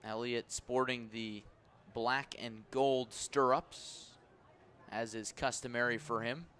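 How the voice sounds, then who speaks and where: A man calling baseball play-by-play on the radio in measured, descriptive, clipped phrases. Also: mid-range voice, fairly even intonation, a bit bright. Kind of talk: radio sports commentary.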